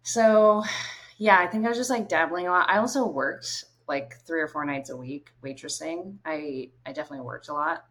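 A woman's voice: low voice, normal speed, a bit bright. A woman in her thirties from Maine, a guest in a casual podcast interview, talking easily with lots of "like" fillers. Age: 30s. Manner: easygoing, many fillers like "like".

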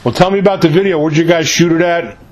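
A man's voice: fairly high pitch, quick pace. A man hosting a casual interview show, asking questions in an informal, chummy way with plenty of "man.". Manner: informal, often says "man".

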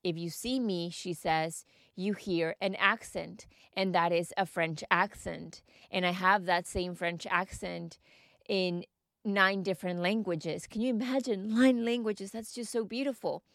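A woman podcast host speaks in a lowish voice. She coaches the listener with gentle, searching questions. The sound is close and dry, with no room around it. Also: fairly even intonation, unhurried, creaky, no echo. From United States. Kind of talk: solo podcast monologue.

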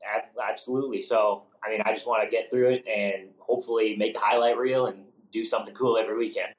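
A man's voice: fairly low pitch, fairly even intonation, fast, echoey room, low-quality recording. A young man from Massachusetts with a New England accent, a rookie driver talking casually in an interview with lots of restarts and fillers. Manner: rambling, many restarts and fillers. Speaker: rookie monster truck driver.

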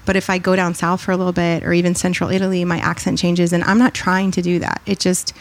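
Animated, low, gravelly-voiced woman. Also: quick pace. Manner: animated, digressive, laughs often. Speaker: podcast guest, author and consultant. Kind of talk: casual interview chat.

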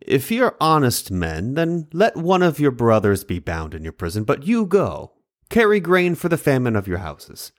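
A stern man of power lays down his conditions in slow, deliberate words, read by a male narrator. His voice moves widely between low warnings and raised commands. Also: huge pitch swings. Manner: measured and commanding.

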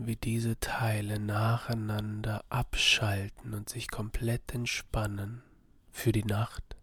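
A man softly narrating a guided body-scan meditation for sleep, soothing and half-whispered, with a breathy voice. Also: lowish voice, no echo.

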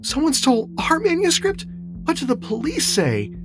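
A man's voice: sits high, lively intonation, fairly quick, music in the background, pitched above the performer's own voice. A man voicing an indignant, sour woman with a strident, incredulous manner in an audiobook. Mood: horrified, strident. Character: well-off middle-class woman, indignant and sour.

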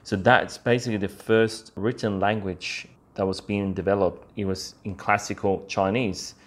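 A man's voice: on the low side, steady tone, moderate pace. A man hosting a podcast alone, explaining things in an informal, conversational way with frequent check-ins to the listener. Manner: informal, conversational, peppers talk with "you know" and "right?". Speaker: podcast host. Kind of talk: casual explainer podcast monologue.

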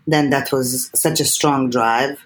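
Guest: Serbian-accented woman, animated, earnest, restarts a lot. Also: a bass voice, slow, a little hiss or hum. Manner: animated, many restarts and repeated words. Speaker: guest filmmaker. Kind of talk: earnest interview talk.

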